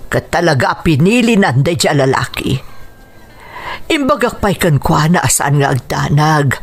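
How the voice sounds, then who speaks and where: A woman's voice: low voice, big pitch swings, moderate pace, husky, faint music behind, full character voice. An actress playing a caring, persuasive middle-aged family woman in a radio drama, with a Filipino accent. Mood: firm. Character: caring, persuasive middle-aged mother and elder sister, practical. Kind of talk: Ilocano radio drama acting.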